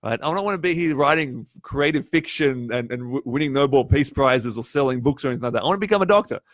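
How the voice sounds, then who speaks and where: A man's voice: mid-range voice, animated, quick pace, no room sound. A man who grew up speaking Polish, giving a chatty live-streamed coaching talk on test preparation and often turning to his audience with questions. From Poland. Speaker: test prep tutor.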